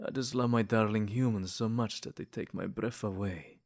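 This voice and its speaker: A man's voice: fairly low pitch, steady tone, moderate pace, darkish tone. A man playing a smug, possessive, lovesick vampire in a comedic ASMR roleplay, theatrical and taunting one moment and sweet-talking the next. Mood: smug wooing.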